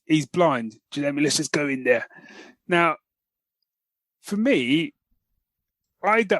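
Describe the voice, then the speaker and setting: A man co-hosting a podcast, telling stories in an animated, slangy, casual review style. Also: fairly high pitch, no echo. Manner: animated storytelling with slangy asides. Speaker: podcast co-host. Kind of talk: casual chat, film review.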